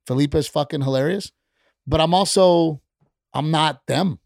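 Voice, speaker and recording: A man's voice: a little high, normal speed, dry recording, clean studio sound. A middle-aged man, a stand-up comedian and podcast guest, talking bluntly and heatedly in casual chat, with plenty of profanity. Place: podcast studio.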